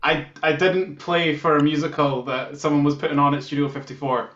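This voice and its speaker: A man's voice: a little high, level tone, brisk, a bit bright. A Scottish man chatting casually as an interview guest, with a jokey, tongue-in-cheek manner. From Scotland. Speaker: band musician, guitarist, interview guest. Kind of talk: casual chat. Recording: dull, roomy video-call sound.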